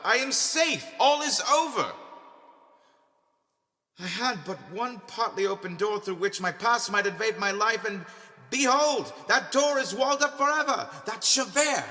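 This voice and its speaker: A man's voice: low-pitched, pushed and bright. A man playing a guilt-ridden ex-convict turned town benefactor in a first-person audio drama monologue, questioning and justifying himself in a theatrical, agonized manner. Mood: agitated, relieved. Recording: long hall-like reverb.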